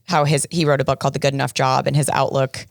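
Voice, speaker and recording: A man's voice: medium pitch, flat delivery, fast, gravelly, no room sound, clean studio sound. A young man co-hosting a podcast in a casual, conversational and emphatic way. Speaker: podcast co-host.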